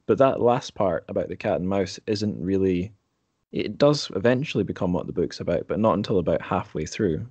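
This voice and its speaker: A man hosting a conversational interview, speaking in a measured way and pausing to form his thoughts. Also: low voice, expressive, darkish tone, breathy edge, no echo, lo-fi sound. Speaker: podcast host, interviewer. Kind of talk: conversational book discussion.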